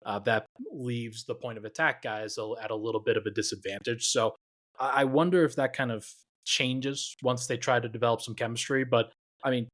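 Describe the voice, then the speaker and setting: A man hosting a basketball podcast, talking in a relaxed way and thinking aloud with lots of fillers. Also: mid-range voice. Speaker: podcast host. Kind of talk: casual basketball podcast chat.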